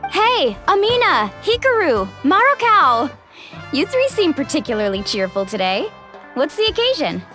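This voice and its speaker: A woman voicing an upbeat, friendly young swimmer and guide in an animated game style. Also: high voice, moderate pace, faint music behind, heavily acted. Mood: cheerful. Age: young adult.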